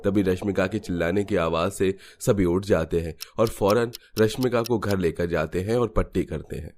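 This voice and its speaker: Brief: male narrator for cartoon family tales, very low voice, scripted delivery, some noise and clicks on the recording. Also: moderate pace, a little mellow. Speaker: story narrator.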